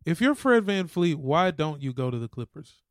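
A man's voice: a little high, big pitch swings, normal speed, dry recording, studio quality. A man co-hosting a podcast in casual sports chat, with a flippant, slangy way of talking. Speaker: podcast co-host.

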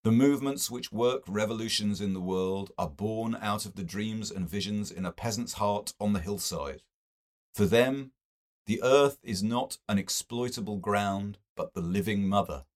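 A man's voice: fairly low pitch, moderate pace, lightly acted. A man voicing a solemn mystical sage in an audiobook, speaking in oracular, rhetorical phrases. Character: solemn mystical male sage, warning in occult tones.

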